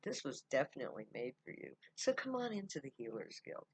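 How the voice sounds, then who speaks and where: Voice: medium pitch, very animated, brisk, breathy edge, no room sound. A storyteller voicing a cheerful woman healer who greets a newcomer with encouragement. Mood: encouraging. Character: warm, welcoming woman healer.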